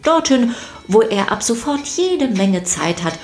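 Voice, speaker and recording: A woman's voice: a little high, very animated, measured pace, darkish tone. A woman narrating a scripted children's story podcast, addressing her listeners playfully and directly.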